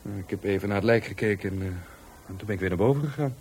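An actor with a Netherlands Dutch accent playing a touchy, defiant law-school dropout in a radio play, by turns hesitant and scornful. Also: male voice, lowish voice, rapid-fire, darkish tone, breathy edge. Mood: hesitant, explaining. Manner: hesitant, then scornful and argumentative. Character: defiant, touchy young male law-school dropout, murder victim's helper.

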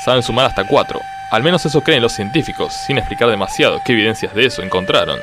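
An actor playing an earnest nature-documentary narrator and interviewer, deadpan and mock-solemn in a scripted parody. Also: male voice, on the low side, quick pace, background noise, natural voice. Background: music.

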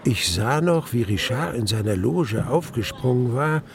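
A male actor playing an emotional, horrified witness at the opera, narrating a radio drama in a gravelly voice with deliberate, theatrical emphasis. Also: medium pitch, animated, heavy background noise. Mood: appalled, incredulous.

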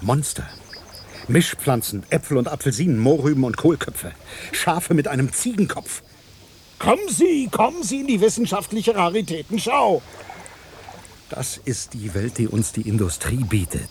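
A man's voice: on the high side, very animated, moderate pace, a little hiss or hum. Skeptical, bitterly sarcastic male journalist. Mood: bitter, accusing. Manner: insistent questioning, biting irony. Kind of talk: German radio drama acting.

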